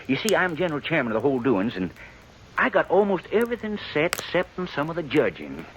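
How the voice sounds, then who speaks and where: A gossipy small-town fellow rambles folksily in a highish, very animated voice. He stammers and dodges in old radio western style, with faint recording noise behind him. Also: fast, rough recording. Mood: pushy, breezy. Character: gossipy, evasive small-town man, fair chairman. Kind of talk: 1950s radio western acting.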